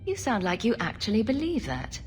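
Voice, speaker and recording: A woman playing a composed, skeptical adventuring heroine in a video game, measured and questioning. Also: fairly high pitch, wildly swooping pitch, soft background music.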